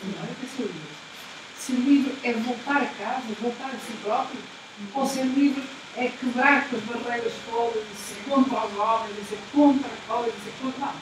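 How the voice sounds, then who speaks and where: A woman's voice: a little high, normal speed. A woman talking in casual discussion, emphatic and repetitive, often asking rhetorical questions. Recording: echoey room, distant mic, noisy.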